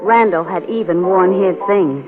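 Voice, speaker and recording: Actress as inquisitive reporter, old radio sound. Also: female voice, lowish voice, normal speed, natural voice. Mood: inquisitive, matter-of-fact. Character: bright, inquisitive female reporter companion. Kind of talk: 1940s radio drama acting. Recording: narrow old radio recording, music behind.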